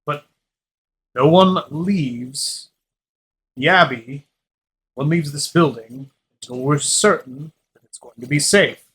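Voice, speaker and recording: A man's voice: fairly high pitch, slow pace, pauses often, fairly bright tone, low-quality recording. A man playing a stern, protective adventurer who gives clipped, authoritative orders in a tabletop game. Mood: commanding, grave. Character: stern, commanding male adventurer, protective and suspicious.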